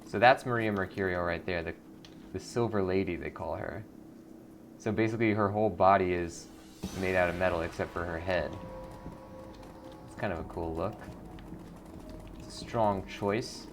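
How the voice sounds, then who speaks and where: A man's voice: sits low, normal speed, long pauses, music in the background. A man hosting a gaming video, reading out narration and adding casual commentary.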